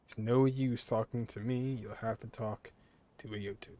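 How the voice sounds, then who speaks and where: A man's voice: on the low side, fairly even intonation, unhurried, mellow, slight background noise. A man streaming a game, casually commenting and reading dialogue choices aloud. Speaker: gaming streamer.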